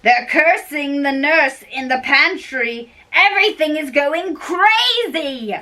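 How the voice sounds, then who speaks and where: Flustered household servant for stage play, read by a woman: high voice, slow halting delivery. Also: expressive, sharp tone, full character voice. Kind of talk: amateur dramatic reading of a stage play.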